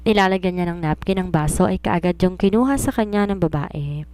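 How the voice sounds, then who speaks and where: A Filipino woman narrates a romance story from a script. Her voice sits on the low side and has a dark, soft tone. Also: a little fast, no room sound.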